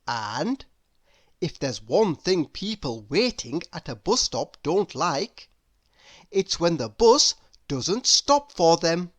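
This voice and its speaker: A woman reading a children's story aloud in an expressive narrating style. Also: fairly low pitch, takes it slowly. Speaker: storybook reader.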